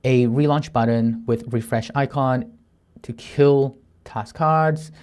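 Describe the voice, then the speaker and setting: Guy in his 30s coding on stream, mumbles stuff half to himself, trips over his words a lot, middle pitch voice. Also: fairly even intonation, clear, resonant tone. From Thailand. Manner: halting, trips over words and restarts when nervous; soft murmured asides while reading. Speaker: software developer streaming. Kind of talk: thinking aloud while coding, reading plan text.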